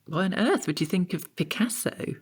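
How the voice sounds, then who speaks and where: An actress playing a prim, austere art tutor in an audio comedy drama, clipped and schoolteacherly, with faint disapproval. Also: female voice, lowish voice, lively intonation, moderate pace, slightly rough. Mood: curt.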